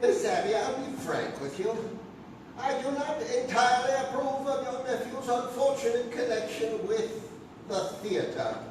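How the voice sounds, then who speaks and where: A man plays a genteel, prim clergyman in a stage comedy, his high voice lilting with careful courtesy. The sound is distant and very echoey. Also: lively intonation, measured pace. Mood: prim disapproval. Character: genteel, prim minister, mildly disapproving and polite. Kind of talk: comic stage play performance. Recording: distant, very echoey theatre recording with hiss.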